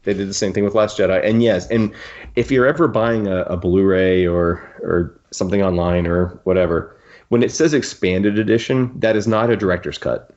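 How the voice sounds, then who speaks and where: A man's voice: sits low, fairly even intonation, darkish tone. A man hosting a podcast, discussing a book casually and with strong opinions, often stopping to rephrase his thoughts. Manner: opinionated, halting and rephrasing as he goes. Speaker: podcast host. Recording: dull, muffled lo-fi audio.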